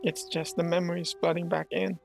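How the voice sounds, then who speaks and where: A woman's voice: on the low side, level tone, brisk, vocal fry, darkish tone, soft background music. A woman streaming a game, reacting casually to the story as she plays. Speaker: gaming streamer. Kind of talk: casual reactions during gameplay.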